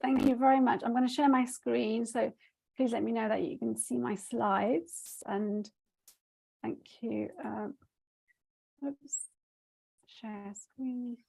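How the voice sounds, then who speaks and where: A woman from Nepal presents her research over a video call. Her voice sits slightly high and has a gravelly, creaky edge. She talks haltingly, with frequent ums and restarts. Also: fairly even intonation, dark tone, low-quality recording. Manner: hesitant, many fillers and restarts. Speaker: academic presenter. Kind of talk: conference presentation.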